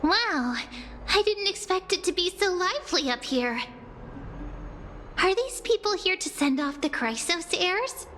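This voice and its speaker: Tiny, very high-pitched chirpy companion. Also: female voice, big pitch swings, measured pace, a bit bright, long reverb tail, slight background noise, full character voice. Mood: amazed, curious. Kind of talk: video game character dialogue.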